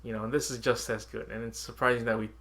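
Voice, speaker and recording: A man's voice: medium pitch, little pitch movement, fast, crowd noise. A man co-hosting a casual video game podcast, often chuckling as he talks. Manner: giggly, frequently chuckles while talking. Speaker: podcast co-host.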